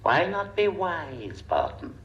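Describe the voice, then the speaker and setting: A man's voice: fairly high pitch, wildly swooping pitch, takes it slowly, reverberant, lo-fi sound, heavily acted. A man playing a megalomaniac scientist villain in a radio thriller, ranting and grandiose. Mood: gloating. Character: megalomaniac male scientist villain craving world domination. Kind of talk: radio thriller serial acting.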